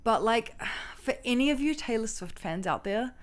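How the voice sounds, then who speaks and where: A young woman with a low, loud voice, co-hosting a casual marriage advice chat and telling stories animatedly. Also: normal speed. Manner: animated storytelling, acts out voices and quotes with laughs. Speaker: co-host of a marriage advice channel.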